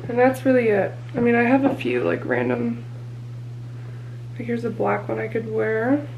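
Young woman vlogger, slightly high voice, chatty, thinking aloud; camera mic in an apartment, light hum. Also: lively intonation, normal speed, vocal fry, lo-fi sound. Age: 20s. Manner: chatty, thinking aloud while tidying and packing. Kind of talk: casual vlog chat. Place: small apartment bathroom and bedroom, indoors.

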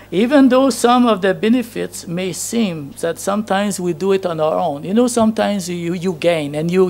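Man, fifties, gravelly, chatty sermon, handheld mic, church hall. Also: on the high side, darkish tone. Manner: storytelling, chatty, laughs at himself. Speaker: preacher. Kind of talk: anecdotal sermon. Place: church hall with projection screen. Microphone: handheld microphone.

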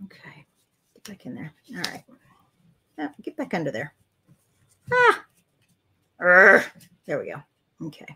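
An older woman talks through a card-making project live from her craft desk. Her voice is bright and clear. She chats casually, corrects herself often and greets viewers by reading their comments. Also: lowish voice, wildly swooping pitch, measured pace, long pauses. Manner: chatty, self-correcting, reads viewer comments aloud.

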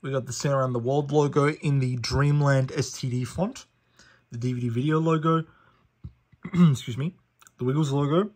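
A man's voice: mid-range voice, steady tone, normal speed, darkish tone. Chatty young man reviewing. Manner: fact-listing, self-correcting, chatty with fillers. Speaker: DVD collector reviewing a disc. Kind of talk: casual collector review. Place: small living room indoors. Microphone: phone camera held over the disc.

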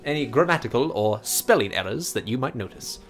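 A man reading out a letter as a haughty, roguish French gentleman thief, in a grandiose, mock-French voice. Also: mid-range voice, expressive, brisk, lightly acted. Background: game music.

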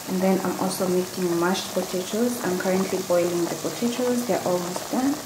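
A young woman in her twenties chatting casually in a vlog, listing things with fillers and small self-corrections. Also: on the low side. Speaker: vlogger. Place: small indoor room at a table. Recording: echoey room with clear background noise.

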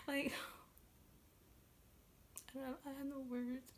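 A woman in her twenties chatting casually and excitedly as a reaction video host, halting and leaning often on "like". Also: high-pitched. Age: 20s. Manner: excited, gushing; halting, repeats "like" heavily. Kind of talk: casual reaction vlog chat. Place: small room at home, indoors. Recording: clearly noisy home room recording.

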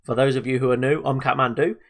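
A man sounding a little bunged up from a cold chats through a game as he plays. He talks casually, trails off, and fills gaps with ums and uhs. His pitch sits at an ordinary middle height for a man. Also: fairly even intonation, quick pace. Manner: chatty, hesitant, lots of fillers and self-talk. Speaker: gaming video host. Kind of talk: casual let's-play commentary.

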